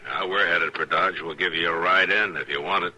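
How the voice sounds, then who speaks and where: An actor playing a calm, dry-humored frontier marshal in a 1950s radio western. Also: male voice, deep voice, a little fast, full character voice. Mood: laconic. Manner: dry, even, laconic. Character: calm steady frontier lawman. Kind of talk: 1950s radio drama acting.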